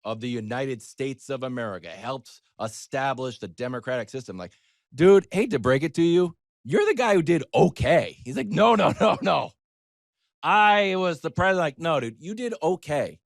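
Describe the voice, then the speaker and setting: A middle-aged man telling a conversational story as a sports talk panelist, animated and wry, slipping into imagined dialogue. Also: fairly high pitch, normal speed, creaky, no echo, clean studio sound.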